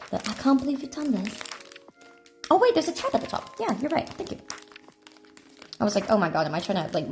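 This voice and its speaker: A woman streamer talks casually and loosely to her chat in a medium-pitched voice with a noticeable creak. She rambles in a conversational, hedging way. She is on a phone microphone in an echoey room, with faint music behind her. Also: a little mellow. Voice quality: self-described deeper speaking voice. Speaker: livestreamer. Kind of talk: casual livestream chat while eating snacks. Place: echoey indoor room at night.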